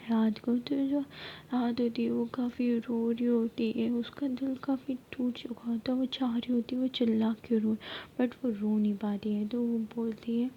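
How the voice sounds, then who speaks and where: A woman tells a story almost entirely in a soft, steady whisper. Her voice sits a little high but hardly moves in pitch. The recording sounds a touch dull, with a faint rumble underneath.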